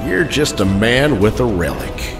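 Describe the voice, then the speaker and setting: An actor in a superhero audio drama playing an arrogant, menacing armored henchman, with very loud music underneath. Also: lowish voice, sing-song, a little slow. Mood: cold menace.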